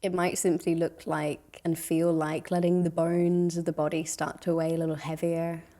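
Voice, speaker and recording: A woman guides a meditation with a mellow, relaxed tone. Her pitch sits slightly low and stays very even. She speaks gently and soothingly, leaving long pauses between phrases.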